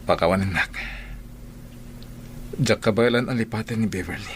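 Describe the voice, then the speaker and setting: A hesitant young husband played by a man, fairly low in voice. He sounds guilty and apologetic in radio drama style, with faint noise behind him. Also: natural voice. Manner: halting, apologetic.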